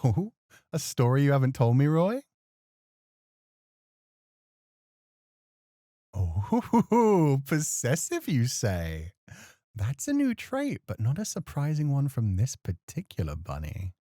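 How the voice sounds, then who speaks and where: A man acting as a refined, scholarly club host in a flirty ASMR roleplay, speaking formally with playful, gentle chiding. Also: mid-range voice, wildly swooping pitch, unhurried, dry recording, clean studio sound. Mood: amused, intrigued.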